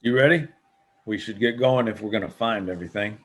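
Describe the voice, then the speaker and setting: An actor playing a friendly, restless lookout and hunting partner in a table read, with an offhand, casual delivery. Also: male voice, fairly low pitch, fairly quick, fairly bright tone, slight background noise, lightly acted. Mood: companionable.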